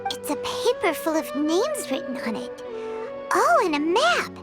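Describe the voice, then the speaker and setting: A tiny fairy companion from a video game, curious and childlike. The voice is squeaky and extremely high, with lively swings in pitch. Loud music plays behind it. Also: female voice, a little slow, full character voice.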